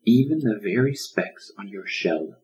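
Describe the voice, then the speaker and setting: A man voicing a wise, gentle old lion in a storybook, speaking in a loving and welcoming manner. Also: fairly low pitch, expressive, unhurried, low-quality recording. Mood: loving, solemn.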